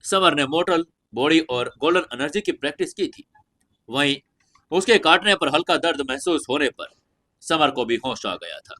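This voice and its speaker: Animated, higher-pitched male story narrator. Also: normal speed. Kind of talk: dramatic scripted fantasy narration.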